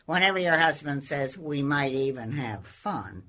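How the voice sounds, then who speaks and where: A woman's voice: low voice, animated, a little slow, fairly bright tone, exaggerated voice. An actress playing a sardonic, blunt older woman in a radio comedy. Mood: sardonic, irritated. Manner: blunt, wry. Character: cranky, sardonic older woman, neighbour of the family.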